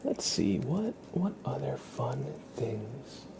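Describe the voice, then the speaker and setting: An ASMR livestream host whispering casually to viewers in a soft-spoken, unhurried way. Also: medium pitch, big pitch swings, gravelly, over loud music.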